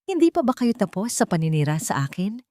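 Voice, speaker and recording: A woman's voice: mid-range voice, big pitch swings, fairly quick, darkish tone, no room sound, studio quality, pitched above the performer's own voice, close to an everyday voice. A woman voicing a proud, wounded young working man in a dramatic story, guarded and strained. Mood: cold anger.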